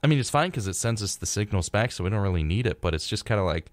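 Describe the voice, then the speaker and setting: A young American man with a fairly low voice co-hosts a casual radio talk show. He is laid-back, moving between read-out facts and offhand remarks. The recording is dry and close, as in a studio. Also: expressive, very fast, no room sound.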